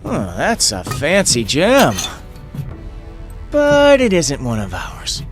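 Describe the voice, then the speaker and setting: An actor voicing a smug, playful rogue in a video game, sly and teasing. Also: male voice, on the high side, wildly swooping pitch, slow pace, soft background music, exaggerated voice. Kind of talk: video game cutscene acting.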